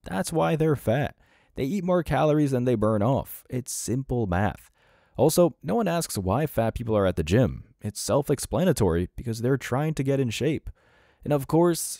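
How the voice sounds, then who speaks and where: A man's voice: medium pitch, dark tone, no room sound, clean studio sound. A man delivering scripted reaction commentary as a host, in a mocking, sarcastic and blunt manner.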